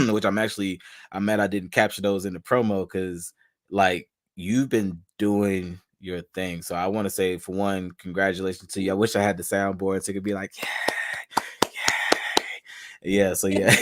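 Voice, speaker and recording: A man's voice: fairly low pitch, dry recording, studio quality. A man from Charlotte, hosting a casual podcast interview in a slangy, rambling way. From Charlotte, North Carolina. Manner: laid-back, slangy, rambling with restarts. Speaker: podcast host.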